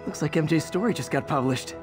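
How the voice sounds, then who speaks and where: A man's voice: on the high side, fast talker, close to an everyday voice. A young man with an American accent acting an earnest, quippy young superhero in a video game cutscene, sometimes hesitant and talking to himself. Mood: musing. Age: young adult. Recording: dramatic music bed behind.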